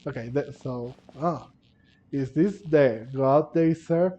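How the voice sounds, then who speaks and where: A man's voice: on the high side, takes it slowly, warm tone, highs cut off. A man giving casual playthrough commentary on a video game, musing hesitantly as he thinks aloud. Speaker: gaming video creator, player. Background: game music.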